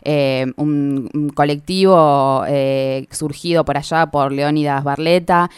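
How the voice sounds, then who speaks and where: A woman hosting a radio interview, asking her guest questions in a measured, polite way. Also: deep voice, steady tone, smooth, no echo, studio quality. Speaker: radio host.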